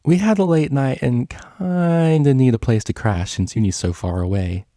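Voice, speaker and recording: A man's voice: mid-range voice, normal speed, dark tone, no room sound, studio quality, voice pushed up, natural voice. A man voicing a young unicorn DJ filly, cheeky, casual and optimistic, with breezy slangy speech in a story reading. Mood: cheerful.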